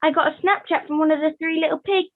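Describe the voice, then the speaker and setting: High, teasing gossip puppet, played by a woman. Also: steady tone, fast. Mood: teasing, gleeful. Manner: teasing, playful, conspiratorial. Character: teasing, gleeful gossip puppet, relaxed about time. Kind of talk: online puppet show acting. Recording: thin, phone-like video call.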